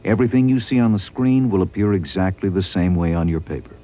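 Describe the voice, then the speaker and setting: A man reading scripted promotional training narration in a measured, instructional way. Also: sits low, dark tone, highs cut off.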